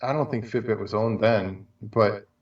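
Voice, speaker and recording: A man co-hosting a talk show in casual chat, speaking in a halting, rambling way. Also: lowish voice, steady tone. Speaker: show co-host. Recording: roomy, distant, dull microphone.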